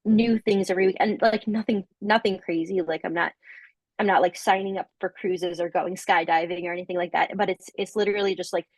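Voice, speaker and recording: A young woman giving a coaching testimonial in casual conversation, halting and full of fillers and restarts, often laughing. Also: lowish voice, steady tone, no room sound, poor audio.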